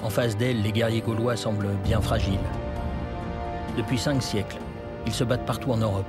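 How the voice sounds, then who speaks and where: A man reading scripted historical documentary narration in a measured, dramatic way. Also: fairly low pitch, fairly even intonation. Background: loud music.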